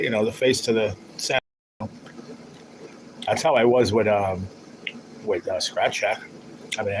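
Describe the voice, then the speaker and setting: A man's voice: medium pitch, background noise. A man hosting a casual livestream, chatting in a rambling, teasing way as he reads viewer comments. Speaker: livestream host.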